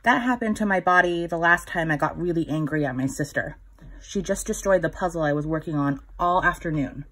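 A woman reading a picture book aloud to young children in a gentle, instructive way, with a slightly husky voice. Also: fairly low pitch. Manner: gentle, instructive, pausing to put questions to the child listener. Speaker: children's story reader.

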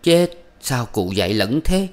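A man's voice: mid-range voice, normal speed, voice pushed up, lightly acted. A man voicing an older woman who placates and soothes. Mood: coaxing.

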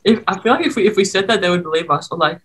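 A woman's voice: on the low side, racing, a bit bright, breathy edge. A young Australian woman, a college basketball player, chatting playfully over a video call. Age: young adult. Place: small room, indoors.

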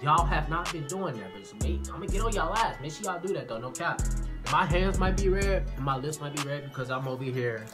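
A man's voice: a little high, expressive, a little fast, loud music behind the voice. Young man, casual slangy vlogger. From Chicago, United States. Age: 20s. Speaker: YouTube prankster and host. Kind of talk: casual slangy chat to viewers. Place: small plain room indoors.

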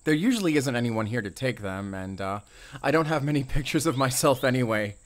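Audio drama role, male actor: excitable young male ranger, American accent, chatty and friendly. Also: mid-range voice, fairly quick, lightly acted. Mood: shy, playful. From Arizona, US. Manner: talkative, eager, rambling, with small chuckles. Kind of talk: roleplay audio drama addressed to the listener.

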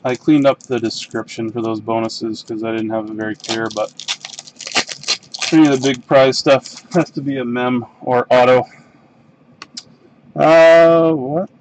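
Casual Canadian male host, medium pitch, slow unhurried delivery, reads cards while opening packs. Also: rough recording. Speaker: box break host. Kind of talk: casual live-stream card break commentary. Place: desk in a home card room.

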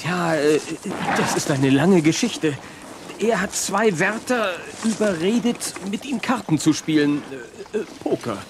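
A nervous young jail guard in a Wild West audio drama for children. His high voice sounds exhausted and hesitant, stammering along, with clear noise behind him. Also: animated, unhurried. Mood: sheepish. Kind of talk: German children's radio play acting.

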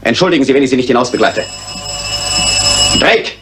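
A man acting an irascible lord of the manor in a radio crime drama. He speaks fast and haughtily, with lively swings in a mid-pitched voice. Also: heavy background noise, close to an everyday voice. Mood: angry, impatient. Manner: haughty, clipped, impatient. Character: curt, irascible widowed gentleman of the manor.

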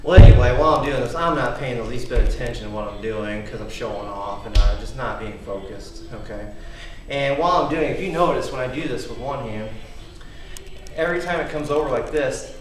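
A man's voice: mid-range voice, brisk, slight background noise. Rambly guy in his 30s showing off gym gear, big echo, mic way across the garage. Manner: rambling, chatty, keeps asking "okay?". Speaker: home fitness vlogger. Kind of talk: casual demonstration and storytelling. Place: large wood-paneled garage at night. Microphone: camera mic from across the room. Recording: echoey garage, voice from far off.

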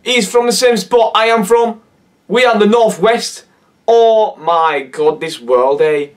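A hoarse teenage young man with a Cumbrian accent, chatting and laughing in a casual reaction vlog from his bedroom. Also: sits high, slow, distant mic. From Cumbria, England. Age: teens. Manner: laughing, swearing, incredulous. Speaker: YouTuber. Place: bedroom, indoors. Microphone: webcam at desk.

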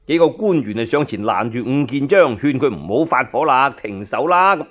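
A man's voice: mid-range voice, lively intonation, normal speed, narrow, tinny audio. A man with a Cantonese accent narrating a dramatic tale as a solo radio storyteller.

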